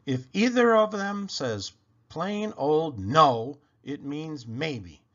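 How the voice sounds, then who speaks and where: A man reading a children's picture book aloud in an expressive, playful way with animated emphasis. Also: on the high side, slow pace. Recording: dull, lo-fi sound.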